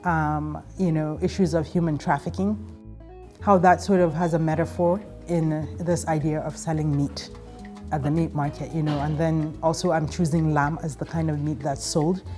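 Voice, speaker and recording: A woman's voice: deep voice, warm tone. A woman artist from Nigeria, speaking in an interview, thoughtful and a little hesitant.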